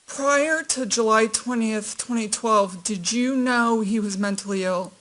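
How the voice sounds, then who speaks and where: A woman attorney questioning a witness in a courtroom in a measured, gentle way. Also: on the high side.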